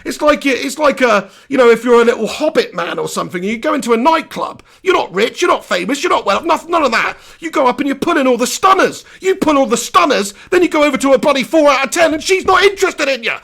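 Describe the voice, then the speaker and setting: A man hosting a live post-match reaction, hoarse from losing his voice, ranting in an animated, exasperated way. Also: extremely high pitch, quick pace, few pauses. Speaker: football fan channel host.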